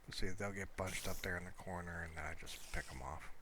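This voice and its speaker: A man giving casual, unscripted, rambling commentary while showing his game world in a low-key, conversational way. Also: fairly even intonation, quick pace, background noise.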